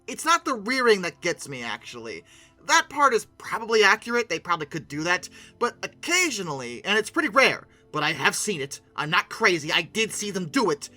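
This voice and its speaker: Sarcastic video reviewer, lively swooping pitch and a very bright tone, informal and theatrical, faint music underneath. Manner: theatrical, swings into mock-outraged rants; sarcastic asides. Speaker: video game reviewer and host. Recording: music bed behind the voice.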